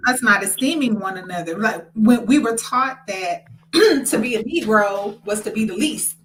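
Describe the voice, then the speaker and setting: Bright-voiced Southern woman hosting. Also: a little high, lively intonation, normal speed, slight background noise, rough recording. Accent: Southern American. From American South. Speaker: host. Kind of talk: casual family talk show chat.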